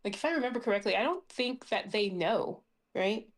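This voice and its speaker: A woman's voice: mid-range voice, animated, a little fast. A woman chatting casually as a player in a tabletop game. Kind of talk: casual chat.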